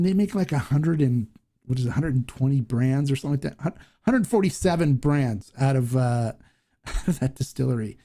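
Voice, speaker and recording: A man hosting a casual live Q&A, reading viewer comments aloud and answering in a rambling, halting way. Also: mid-range voice, gravelly, dark tone. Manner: rambling, halting, many restarts and fillers. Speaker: host of a live Q&A stream.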